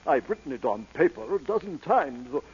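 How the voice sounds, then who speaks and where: An actor playing a shy, nervous suitor, halting and awkward, in an old radio drama. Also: male voice, on the high side, sing-song, slightly rough, exaggerated voice. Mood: embarrassed. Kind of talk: 1930s-40s radio drama acting. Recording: dull, echoey vintage radio broadcast.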